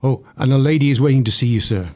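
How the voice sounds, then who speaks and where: A man plays an obedient servant in a literary radio drama. His voice is mid-pitched and dark-toned. He is subservient and deferential throughout. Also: fast talker, natural voice. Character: subservient, obedient male manservant.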